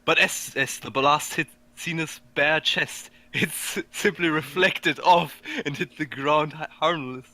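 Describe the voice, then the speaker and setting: A man narrating amateur fan fiction, halting and stumbling over words as he reads aloud. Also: on the high side, sharp tone.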